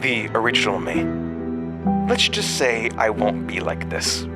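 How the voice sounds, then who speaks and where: An actor in an audio drama playing a mysterious, volatile male entity living inside someone's head, speaking in a halting, cryptic way. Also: on the low side, animated. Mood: ominous. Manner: halting, cryptic, swinging from muttering to outbursts. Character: fragmented mysterious male entity implanted in another's mind, volatile. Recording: music behind.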